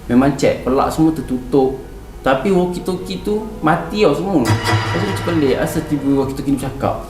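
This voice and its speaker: A man's voice: on the high side, expressive, fairly quick. Young Malaysian man storytelling in echoey room. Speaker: podcast guest telling a ghost story. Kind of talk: casual spoken storytelling. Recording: roomy, distant mic, music underneath. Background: music.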